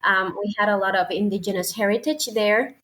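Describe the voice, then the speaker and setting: A young woman with a thick Puerto Rican Spanish accent talks as a guest in a relaxed interview. English is her second language, so she stops often to hunt for the right word. Her voice sits at an ordinary, middling pitch for a woman. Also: fairly quick, poor audio. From Puerto Rico. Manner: hesitant, searching for words, frequent fillers. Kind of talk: casual interview conversation.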